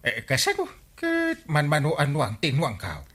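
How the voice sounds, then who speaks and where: A man's voice: a little high, unhurried, heavily acted. A man with a Filipino accent playing a playful, sentimental village captain and husband in a radio comedy drama. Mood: excitable. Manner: exclaiming, chuckling, excitable.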